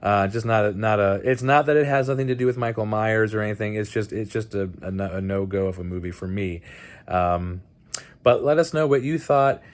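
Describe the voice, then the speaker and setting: A man hosting a casual movie review vlog, speaking bluntly in a halting, conversational way. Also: on the low side. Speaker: host of a movie night video channel.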